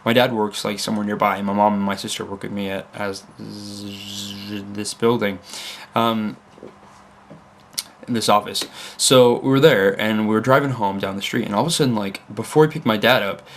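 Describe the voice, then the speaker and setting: A man's voice: on the low side, fairly even intonation, normal speed, a little hiss or hum. A young man with a mixed American and Leeds accent, chatting to a webcam at his computer. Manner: rambling, self-interrupting, with fillers. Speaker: vlogger. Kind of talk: casual chat to camera. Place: home room at a computer desk.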